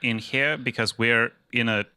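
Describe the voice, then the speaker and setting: A man's voice: mid-range voice, steady tone, moderate pace, pushed and bright, clean tone, no echo, clean studio sound. A man playing a tabletop role-playing game, improvising narration and dialogue in a casual, wry and sweary way.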